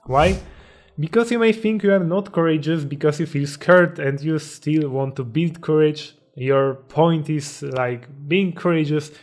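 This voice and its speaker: A man's voice: fairly high pitch, darkish tone. A young man with a Polish accent, a native Polish speaker, giving a motivational explainer with slightly hesitant, non-native phrasing over background music. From Poland. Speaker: self-improvement video creator.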